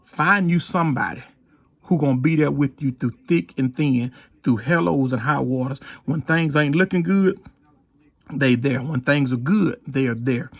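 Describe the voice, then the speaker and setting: A man's voice: medium pitch, moderate pace, creaky, no room sound. A man, a pastor telling stories and exhorting in a folksy, informal sermon style with repeated phrases.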